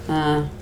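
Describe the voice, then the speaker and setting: A woman's voice: a little mellow, a little hiss or hum. A woman with a Wisconsin accent, talking her viewers through a day in court in a meandering, chatty way that drifts off topic and back. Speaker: vlogger recapping a court trial. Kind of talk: rambling casual commentary.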